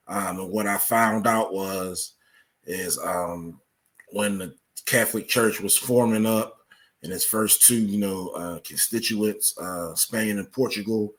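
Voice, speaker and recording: A man speaking as an interview guest, explaining things conversationally in measured, halting short phrases. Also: lowish voice, fairly bright tone. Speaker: interview guest and academic researcher.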